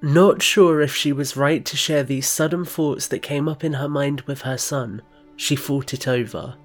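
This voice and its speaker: A man's voice: a little high, steady tone, measured pace, faint music behind. A man reading scripted third-person narration as the narrator of an audio drama.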